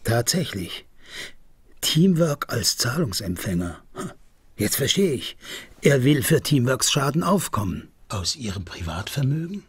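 Very husky, blunt police investigator. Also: male voice, medium pitch, sing-song, measured pace, no room sound, heavily acted. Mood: probing. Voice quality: husky, rough. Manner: terse, probing. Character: driven, blunt male police investigator, wounded and suspicious. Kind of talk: radio crime drama acting.

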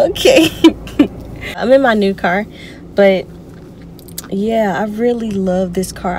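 A young woman talks to her viewers from the driver's seat of her car in a playful, chatty way. The phone camera on the dashboard picks up the small car cabin. Traffic noise comes through clearly behind her. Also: fairly high pitch, darkish tone. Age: young adult. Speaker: vlogger. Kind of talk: casual car vlog chat. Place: inside a parked car, outdoors traffic around.

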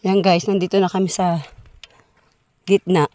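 A woman's voice: fairly low pitch, level tone, normal speed, warm tone. A woman chatting casually to her viewers while walking a dirt road outdoors, filming on a handheld phone, a little out of breath. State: a bit out of breath. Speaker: vlogger. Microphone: phone held while walking.

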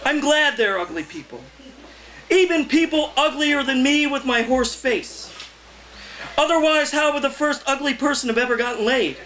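A young man, a poet, reading his verse aloud live in a loud, theatrical, impassioned delivery. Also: very high voice, expressive, moderate pace, a little hiss or hum, poor audio. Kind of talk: live poetry reading, declaimed. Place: indoor cafe reading before a small audience.

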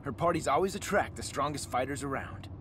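A man's voice: mid-range voice, animated, fairly quick, background noise, lightly acted. An actor playing a brash, good-natured male fighter and father in a video game cutscene. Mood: playful, eager. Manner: brash, confident.

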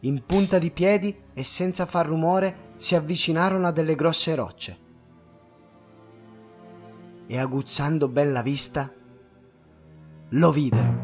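A man with a high-pitched voice narrates a children's tale. His delivery is expressive and scripted, with music playing behind him. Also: takes it slowly, pauses often. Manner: expressive, storytelling.

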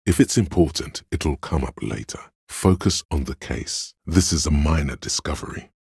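Detective's inner voice of logic, video game narration, heavily rasping, dry close sound, deliberate, analytical. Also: male voice, very deep voice, animated, darkish tone, no room sound, heavily acted. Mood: matter-of-fact. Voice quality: gravelly, husky. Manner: measured, deliberate, coolly reasoning. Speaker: game voice actor.

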